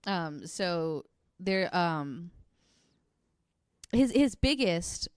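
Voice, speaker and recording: A woman's voice: fairly low pitch, sing-song, extremely slow, clean tone, no echo. A woman hosting a true crime podcast in casual, giggly, informal talk. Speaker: podcast host.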